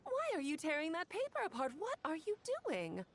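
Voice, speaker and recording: A woman's voice: high voice, wildly swooping pitch, a little fast, a little hiss or hum, full character voice. A woman playing a mischievous, breezy, party-loving young woman with a theatrical, teasing manner in a video game. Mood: playful. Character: playful, mischievous, theatrical young woman who loves parties. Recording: music behind the voice.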